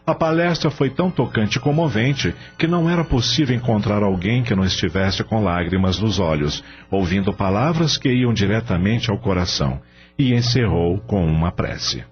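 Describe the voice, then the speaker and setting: Low-voiced man narrating, lively intonation. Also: normal speed. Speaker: narrator of a radio novela. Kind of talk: scripted dramatic story narration. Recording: slightly dull radio-style audio. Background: music.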